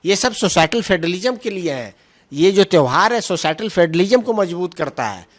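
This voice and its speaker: A man with a moderately high-pitched voice speaks as a political spokesman. He answers questions argumentatively, hammering points through repeated phrasing. English terms are mixed freely into his speech. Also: animated, normal speed.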